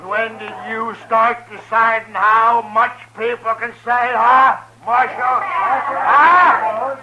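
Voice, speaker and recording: An actor playing a sneering, hostile saloon troublemaker in a 1950s radio western, with a taunting, belligerent, drawn-out delivery. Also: male voice, very high voice, steady tone, pushed and bright, highs cut off, heavily acted. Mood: mocking, taunting. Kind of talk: 1950s radio drama acting. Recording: noisy old 1950s radio recording.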